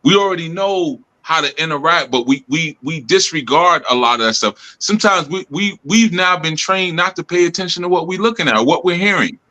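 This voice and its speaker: A man's voice: a little high, expressive, brisk, few pauses, pushed and bright. A man leading a group talk, teaching loosely with laughs and lots of "you know" and "man". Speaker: spiritual group leader.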